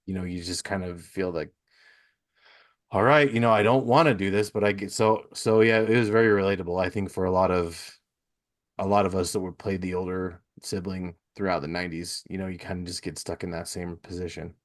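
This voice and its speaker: A man's voice: deep voice, fairly even intonation, fairly quick. A man in his thirties hosting a casual interview, affirming his guest and often repeating and rephrasing his own points. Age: 30s. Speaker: podcast host, interviewer.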